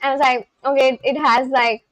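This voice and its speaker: A woman's voice: high voice, flat delivery, fairly quick, a bit bright, no room sound, rough recording. A woman in her twenties with an Indian English accent, chatting casually on a live stream and reading viewer comments aloud. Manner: chatty, reads viewer comments aloud, frequent likes and laughs.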